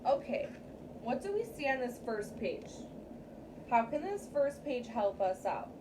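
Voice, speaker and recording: A woman with a fairly high voice leads a children's story time like a classroom teacher. She reads aloud and talks to young pupils, checking in with short questions. The microphone sits away from her, and there is noticeable background noise. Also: big pitch swings, unhurried. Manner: addresses young pupils, frequent checking questions like "okay?" and "right?". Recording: distant mic, clear background room noise.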